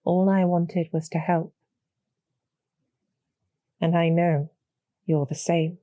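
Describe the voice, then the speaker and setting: Calm, knowing succubus demoness played by a woman for audio roleplay: dark, mellow, low and gravelly, intimate, measured persuasion, very close dry sound. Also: no room sound. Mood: knowing, gentle. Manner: measured, deliberate, softly persuasive. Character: calm, knowing demoness succubus archer, former hero, loyal to her queen.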